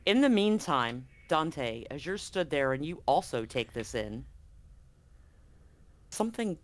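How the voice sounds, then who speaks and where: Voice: mid-range voice, sing-song. Game master narrating horror, suspenseful. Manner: dramatic, suspenseful storytelling with deliberate pauses.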